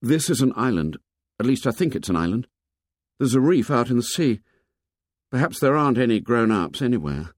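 A man's voice: mid-range voice, sing-song, brisk, no room sound, clean studio sound, pitched above the performer's own voice. A man voicing an offhand, confident schoolboy in an audiobook reading. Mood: thoughtful. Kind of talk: audiobook character dialogue.

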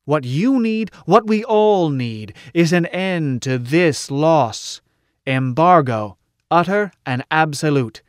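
An impassioned male orator, acted out by an audiobook reader declaims a rousing speech with heavy emphasis. His voice is clear and resonant, with a dry, close sound. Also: a little high, expressive, takes it slowly, dry recording, pitched above the performer's own voice. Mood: fervent, urgent.

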